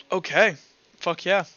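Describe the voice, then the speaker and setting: A man's voice: high voice, bright, forceful tone, no echo, muffled recording. A man narrating a tabletop roleplaying game as game master, in a casual, informal way. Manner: casual, informal, sound-effect-laden descriptions. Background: music.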